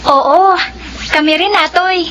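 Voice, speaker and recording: A woman's voice: extremely high pitch, slow pace. An actress playing a friendly, hardworking young female student with a Filipino accent in a radio drama, speaking plainly. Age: young adult. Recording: noisy radio drama recording.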